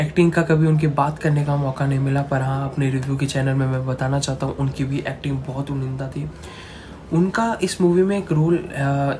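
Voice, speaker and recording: A man with an Indian accent reviewing a film off the cuff as a channel host, halting and repeating himself, dropping English phrases into Hindi. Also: medium pitch, darkish tone. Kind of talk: unscripted movie review to camera. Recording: clearly noisy, ambient background noise.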